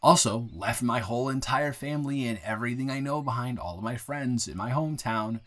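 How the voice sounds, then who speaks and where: A man playing a friendly young newcomer to town, chatting casually and haltingly with a close friend in an improvised roleplay audio. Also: medium pitch, a bit bright, lightly acted. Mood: earnest, reflective. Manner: rambling, halting, self-correcting, conversational. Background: bird and outdoor ambience sound effects.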